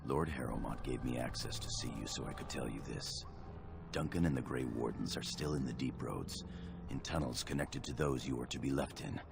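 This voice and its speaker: A man playing a loyal, sorrowful dwarf knight in a video game, speaking gravely and formally. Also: very deep voice, normal speed. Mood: grave, urgent. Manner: earnest, formal. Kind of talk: video game cutscene acting. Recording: dramatic music under the voice.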